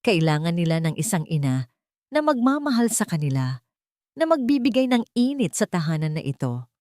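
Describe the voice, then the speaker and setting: A woman's voice: fairly low pitch, sing-song, normal speed, a little mellow, dry recording. A woman narrator plays a wealthy widowed businessman and father in an audio storybook. He sounds subdued and brooding, weighed down by guilt. The sound is dry, with no room on it.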